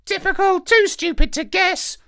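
A man voicing a clever, sharp-tongued male parrot who hacks computers, in a squawky, condescending put-on voice. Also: extremely high pitch, moderate pace, gravelly, voice pushed up, exaggerated voice. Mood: smug. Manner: smug, condescending.

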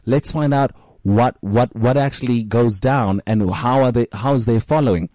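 A man's voice: medium pitch, moderate pace, warm tone, dry recording. A man hosting a casual interview show, rambling with many fillers and restarts. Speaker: show host.

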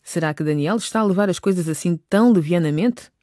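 A woman's voice: on the low side, fast talker, dark tone, dry recording, studio quality. A woman narrating a scripted radio drama.

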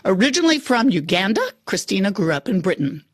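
A woman's voice: medium pitch, very animated, fast talker, breathy edge, no room sound, studio quality. A woman hosting a radio podcast, moving between scripted narration and casual chat with playful asides.

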